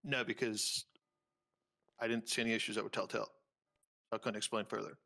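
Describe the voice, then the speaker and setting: A man's voice: fairly low pitch, little pitch movement, fairly quick, vocal fry, bright, forceful tone, heavily acted. A man playing a stubborn witness in improvised roleplay, giving clipped, evasive answers. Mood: guarded. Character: guarded, stubborn male witness on the stand.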